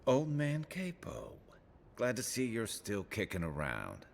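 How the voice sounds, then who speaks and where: Casting: cheeky reptilian fantasy game companion, very low voice with fry, wry teasing. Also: male voice, wildly swooping pitch, a little slow, slight background noise, exaggerated voice. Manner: bantering, wry. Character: scaly, teasing male adventurer, cheeky old friend. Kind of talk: fantasy video game acting.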